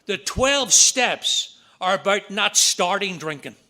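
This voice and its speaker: A middle-aged man with a Northern Irish accent, giving an earnest talk at a recovery meeting with quick asides. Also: high voice, expressive, sharp tone. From Northern Ireland. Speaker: AA speaker. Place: meeting room.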